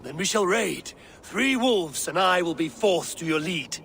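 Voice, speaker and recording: An actor playing a proud, wry, battle-hardened Viking raider in a video game cutscene. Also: male voice, high voice, lively intonation, unhurried, a bit bright, a little hiss or hum, exaggerated voice. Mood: amused, curious.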